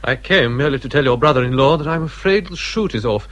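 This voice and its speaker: An actor playing a courteous, commanding naval captain in a period drama, with an English accent and a clipped, formal manner. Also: male voice, a little high, animated, fast talker, fairly bright tone. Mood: stiffly polite. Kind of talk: British period radio drama acting.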